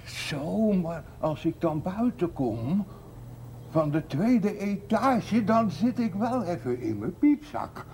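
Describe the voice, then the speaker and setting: An actor playing a gentle, childlike, simple man fond of birds, stammering and repeating words in a television drama. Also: high voice, big pitch swings, takes it slowly, heavily acted. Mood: dreamy, boastful. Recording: noisy old television soundtrack.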